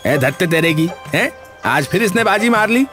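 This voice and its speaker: A man voices a boastful rival cook from a cartoon. The voice is extremely high and brash, with petulant exclamations. Music is clearly audible behind it. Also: lively intonation, normal speed. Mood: annoyed. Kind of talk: cartoon character dialogue.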